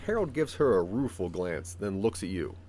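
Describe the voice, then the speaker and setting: A man narrating a scripted second-person audio drama as a measured, dramatic storyteller. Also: sits low, very animated, darkish tone, a little hiss or hum.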